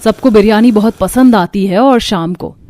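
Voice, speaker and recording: A woman's voice: medium pitch, moderate pace, dark tone. A woman reading scripted storytelling narration for a cartoon.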